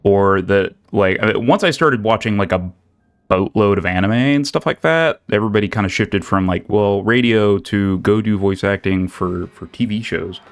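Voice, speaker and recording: Low-voiced rambling male streamer. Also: normal speed. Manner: rambling, many fillers and restarts. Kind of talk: casual chat while playing. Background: faint game music.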